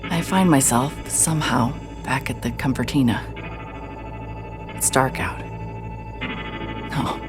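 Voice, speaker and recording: An actress playing a hard-hearted, sardonic private investigator, narrating in a wry, self-mocking way. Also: female voice, rumbling low, slow pace, long pauses, music in the background. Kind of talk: first-person scripted narration.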